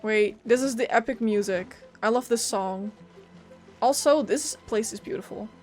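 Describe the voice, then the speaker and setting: A woman streaming a video game, giving casual, expressive and irreverent reaction commentary. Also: on the high side, moderate pace. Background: faint game music.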